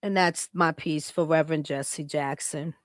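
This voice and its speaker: A woman from Chicago co-hosts a live podcast in a smooth, clear voice, recorded close and dry with no room sound. She talks in a casual, heartfelt way and moves easily into reading her own written words aloud. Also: deep voice, steady tone, unhurried, no echo. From Chicago, United States. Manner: heartfelt, reads a written tribute aloud, laughs often. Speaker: podcast co-host.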